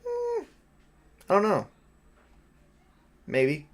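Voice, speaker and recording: A man acting as game master, improvising tabletop roleplay conversationally in a halting, rambling way. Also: high voice, huge pitch swings.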